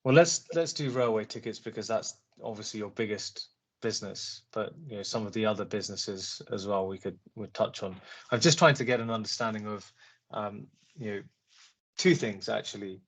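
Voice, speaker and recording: A man's voice: lowish voice, moderate pace, slight background noise. A man asking questions as an investor on a conference call, polite and hesitant, often rephrasing himself.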